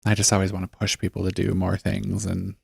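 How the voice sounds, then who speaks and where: A man's voice: sits low, completely flat delivery, fairly quick, vocal fry, breathy edge, no room sound, studio quality. A middle-aged man co-hosting a podcast in casual chat, playful and self-mocking, laughing often. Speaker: podcast co-host.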